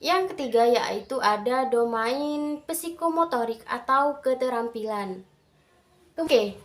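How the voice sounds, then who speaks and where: A young adult woman giving a prepared student presentation in careful, halting phrases. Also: on the high side, animated.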